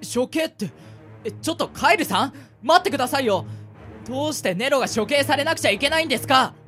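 Woman voicing an expressive, high-pitched boy prisoner. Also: fast talker, faint music behind. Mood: anxious, pleading. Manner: expressive, boyish, protesting. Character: earnest, pleading young boy prisoner, easily moved. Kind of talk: amateur radio drama acting.

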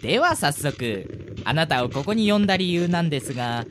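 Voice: mid-range voice, sing-song, normal speed, background noise, natural voice. A performer in an amateur radio drama playing a polite male rabbit guide who explains things in formal speech. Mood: polite, chirpy.

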